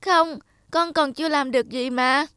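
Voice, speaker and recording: A woman's voice: high-pitched, normal speed, sharp tone. A woman voicing a small, earnest angel girl in a cartoon, childlike and polite, speaking lines. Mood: worried. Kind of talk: animated fairy-tale dubbing.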